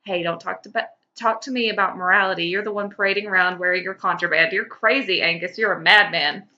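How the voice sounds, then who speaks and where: A flippant, abrasive rogue of a man, voiced by a woman reading a story. The delivery is bright, dismissive and sarcastic, on slightly dull lo-fi audio. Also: mid-range voice, fast talker, lightly acted. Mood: teasing. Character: abrasive, mischievous male adventurer, dismissive and sarcastic.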